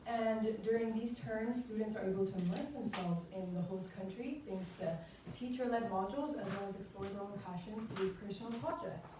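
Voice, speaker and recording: A teenage girl co-hosting a school showcase, explaining clearly. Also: medium pitch, a little fast. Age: teens. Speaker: emcee. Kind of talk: event hosting. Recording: echoey hall, mic far off, noisy.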